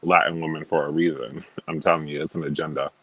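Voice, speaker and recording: A man's voice: very deep voice, little pitch movement, fast talker, pushed and bright, slight background noise. A man talking as a guest on a video call, stating firm opinions with many fillers and laughing at himself. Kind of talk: casual opinionated chat.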